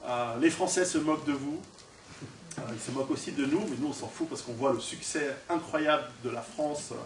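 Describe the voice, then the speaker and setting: A man's voice: medium pitch, fairly quick. A man from Switzerland gives an informal talk to an audience, conversational and joking, projecting his voice without a microphone and putting questions to the room. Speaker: guest lecturer and author. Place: indoor hall, recorded at a distance. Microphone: distant camera or recorder, no microphone on speaker. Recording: echoey hall from far off, noisy.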